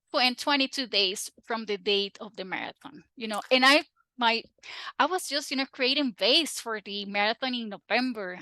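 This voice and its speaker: A woman from Rica, a Spanish speaker, chatting as a guest in an interview with lively restarts and laughter. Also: a little high, no room sound, low-quality recording. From Costa Rica. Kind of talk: casual interview chat.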